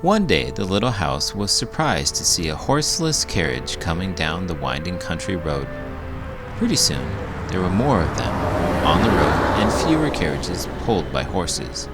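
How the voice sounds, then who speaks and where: A man reading a children's storybook aloud in an expressive, dramatic, emphatic narrating style. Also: deep voice, normal speed. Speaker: children's book reader, elementary school teacher. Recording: music behind the voice. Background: prominent music.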